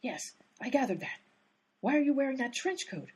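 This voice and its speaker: A woman acting as a determined but hapless lady detective in a radio comedy. Her high voice swings widely as she speaks in an emphatic, exasperated way. The tone has a husky edge. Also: very animated, normal speed, darkish tone, exaggerated voice. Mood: muttering, puzzled. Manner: exclaiming, emphatic, easily sidetracked.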